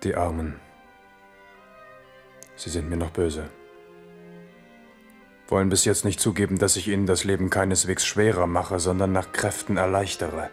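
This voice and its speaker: A man's voice: sits low, steady tone, normal speed, pauses often, soft background music, lightly acted. An actor playing an amorous, proud but weary French king in a German radio drama, commanding and musing by turns.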